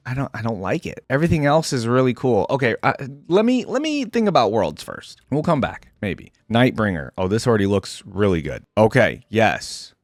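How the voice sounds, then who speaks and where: Man, casual reaction commentary, very animated intonation, informal, thinking aloud, nitpicky, dry close sound. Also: medium pitch, dry recording. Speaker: video creator reacting to game content.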